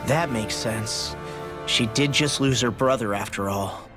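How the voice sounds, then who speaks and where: A man's voice: medium pitch, unhurried, natural voice. An actor playing a casual, concerned young male companion in a fantasy video game, speaking informally. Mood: curious. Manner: informal, questioning. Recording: game dialogue over music.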